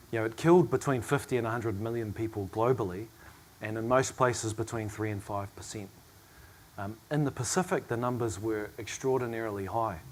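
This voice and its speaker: A middle-aged academic man chairing a panel discussion, hoarse and losing his voice from a cough, speaking in a reflective, measured way. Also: fairly low pitch. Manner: reflective, measured, with soft asides and small laughs.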